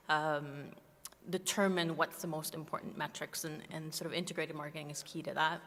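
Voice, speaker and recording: Lady doing a conference talk, kinda halting, low monotone voice, echoey big room. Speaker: media planner, conference speaker. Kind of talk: conference panel presentation. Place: large indoor conference room, on stage. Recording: echoey hall, long reverb.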